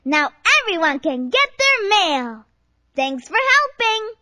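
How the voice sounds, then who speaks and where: An actress playing a cheerful, helpful young girl explorer in a children's educational game, encouraging and clearly exclaiming each line. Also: very high voice, sing-song, bright, forceful tone, no echo, clean studio sound, heavily acted. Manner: exclaiming, encouraging, deliberate enunciation.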